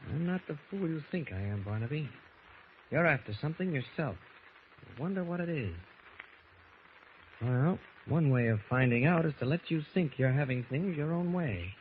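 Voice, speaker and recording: An actor playing an upright, shrewd hero in civilian guise, speaking earnestly and firmly in a 1940s radio drama. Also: male voice, on the high side, lively intonation, moderate pace, close to an everyday voice. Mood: suspicious, confiding. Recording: muffled, noisy old radio recording.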